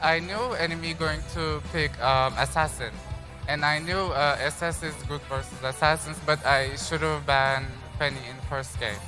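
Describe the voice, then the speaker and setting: Guy pro player, halting english, lots of ums, music in the background. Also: fairly high pitch, steady tone, low-quality recording. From Turkey. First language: Turkish. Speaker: esports player.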